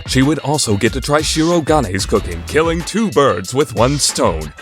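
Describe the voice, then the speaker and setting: Man as an animated, dramatic anime narrator. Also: medium pitch, moderate pace, natural voice. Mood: dramatic, wry. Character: dramatic anime narrator commenting wryly on schemes. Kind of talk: scripted dramatic narration, anime fan dub radio play. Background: background music.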